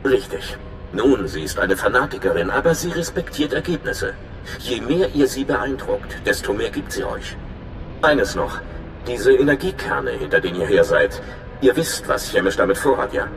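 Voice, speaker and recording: A man's voice: lowish voice, big pitch swings, moderate pace, reverberant, background noise. A man playing a nervous, friendly young undercover informant in a video game, chatty and informal with a touch of anxiety. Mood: earnest, eager. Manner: chatty, informal, slightly anxious. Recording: clear music behind the voice.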